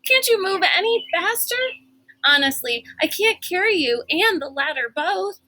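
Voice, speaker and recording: A woman's voice: very high voice, very animated, normal speed, sharp tone, no echo, pitched above the performer's own voice, full character voice. A woman voicing a bossy, athletic young girl in a storybook, breathless, urgent and exasperated. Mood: exasperated urgency.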